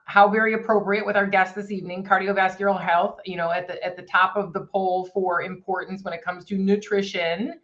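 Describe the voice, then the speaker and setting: A woman's voice: mid-range voice, fairly even intonation, brisk, hardly any pauses, fairly bright tone, highs cut off. A woman chatting earnestly about a fundraiser she leads, often restarting her sentences. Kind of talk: casual chat, promoting a fundraiser.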